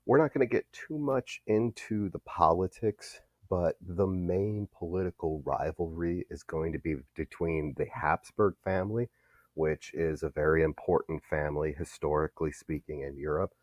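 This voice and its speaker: A man's voice: deep voice, moderate pace, dry recording. A male history teacher giving a recorded lecture, explaining steadily with some fillers.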